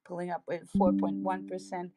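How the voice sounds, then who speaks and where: A woman's voice: fairly low pitch, completely flat delivery, normal speed, mellow, dry recording, clean studio sound. A woman with a Dutch accent co-hosting a crypto livestream, giving casual market commentary in an upbeat way, laughing often and using hype slang.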